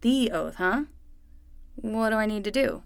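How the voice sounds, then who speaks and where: A woman's voice: medium pitch, lively intonation, measured pace, creaky, clean tone, dry recording, clean studio sound. A woman playing a young summer nanny, patient and wry, talking casually and frankly in a naturalistic fiction podcast.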